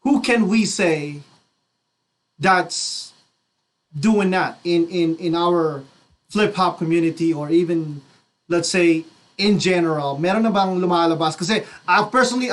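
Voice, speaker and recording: High-voiced Filipino man chatting casually. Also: moderate pace. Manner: stammering repetition of phrases. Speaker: radio co-host. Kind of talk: casual chat, mixing Tagalog and English.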